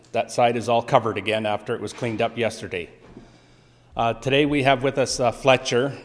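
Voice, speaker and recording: A man's voice: medium pitch, in a large hall. A man making announcements and introducing the guest speaker at a church service, in a plain, informal way.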